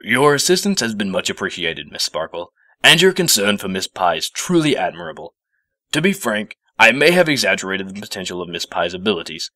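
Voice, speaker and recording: A man voicing a stiff male professor pony with a gruff, formal, slightly sheepish manner in a storybook reading. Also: fairly low pitch, very animated, fairly quick, sharp tone, dry recording, studio quality. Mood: contrite, formal.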